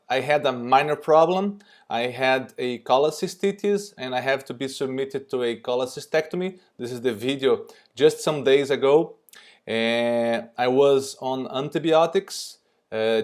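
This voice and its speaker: Brazilian man, mid pitch, halting remote conference lecture. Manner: non-native, halting with frequent fillers. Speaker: surgeon presenting. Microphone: remote recorded presentation.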